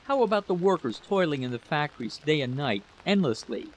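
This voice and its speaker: A man's voice: a little high, very animated, fairly quick. A man reading a scripted dramatic story as its narrator, on a noisy recording.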